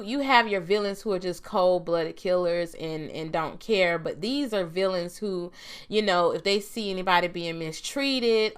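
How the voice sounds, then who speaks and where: A woman reviewing a TV episode in a casual, chatty vlog, her voice a bit raspy as she gets over a cold, laughing often. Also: lowish voice, a bit bright. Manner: chatty, laughs often, trails off with "I'm just saying". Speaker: TV review vlogger.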